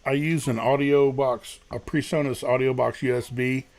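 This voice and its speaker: A man with a deep voice, a guest musician talking casually and rambling about his recording struggles. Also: fairly even intonation, normal speed, darkish tone. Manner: self-deprecating, rambling, many restarts. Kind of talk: casual livestream chat.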